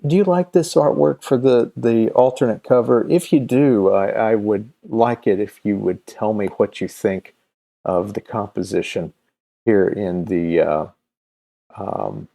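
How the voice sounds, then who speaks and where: A man presenting casually and unscripted as a comics video host, halting and often searching for his words. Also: fairly low pitch, creaky, mellow. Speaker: comics video host and creator promoting his own campaign.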